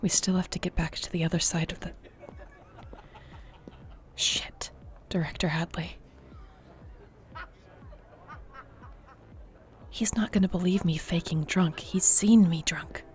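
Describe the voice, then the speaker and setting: A woman acting a guarded, flustered but tender mentor in a modern fantasy audio roleplay, speaking haltingly and often near a whisper. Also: lowish voice, steady tone, a little mellow, close to an everyday voice. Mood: tense, hushed. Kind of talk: audio roleplay acting, intimate one-sided dialogue.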